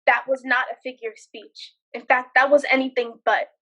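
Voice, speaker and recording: A woman acting an outspoken high-voiced young woman. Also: level tone, brisk, fairly bright tone, rough recording. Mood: indignant, scolding. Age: young adult. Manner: sarcastic, firmly lecturing. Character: outspoken, indignant young paralegal woman on a date. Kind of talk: student one-act stage drama.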